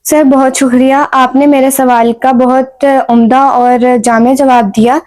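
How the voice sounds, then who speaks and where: A woman's voice: high voice, level tone, a little mellow. A young woman hosting a talk show in a polite, formal presenting style. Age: young adult.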